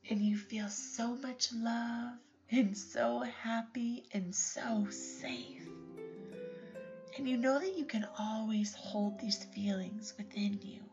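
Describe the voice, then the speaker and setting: A woman telling a children's sleep story in a gentle, half-whispered voice. She speaks slowly and sits a little high in pitch. The recording is slightly muffled, with lullaby music playing clearly beneath her. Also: fairly even intonation. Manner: soft and soothing, often whispered. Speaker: bedtime meditation guide.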